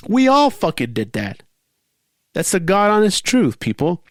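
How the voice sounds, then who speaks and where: A man's voice: sits high, huge pitch swings, measured pace, dry recording, studio quality. A man in his forties with a stuffy, cold-clogged voice, hosting an unscripted podcast in a rambling, profane, heated way. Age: 40s. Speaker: podcast host. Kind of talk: unscripted casual podcast rant.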